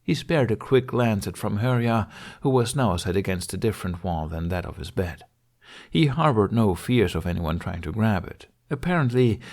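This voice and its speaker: A man's voice: on the low side, a little mellow. A man reading fantasy fiction aloud as a scripted audiobook narration.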